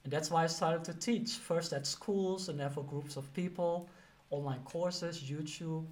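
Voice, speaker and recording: A man's voice: on the high side, fairly even intonation, unhurried. A man with a Dutch accent, a teacher giving motivational career advice in a loose, conversational way, full of "you know" and "like".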